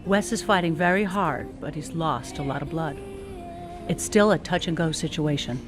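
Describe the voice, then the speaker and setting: Actress playing calm lady doctor, kinda low voice, serious, music under it, radio play. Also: moderate pace, natural voice. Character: composed female surgeon updating an anxious family.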